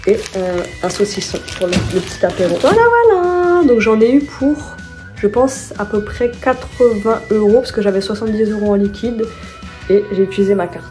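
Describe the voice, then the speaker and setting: A chatty woman talks through her shopping haul at home in a relaxed, mellow voice. She rambles and corrects herself as she goes. Soft music plays quietly behind her. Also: mid-range voice, moderate pace. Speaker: vlogger. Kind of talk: casual vlog chat, showing her shopping haul.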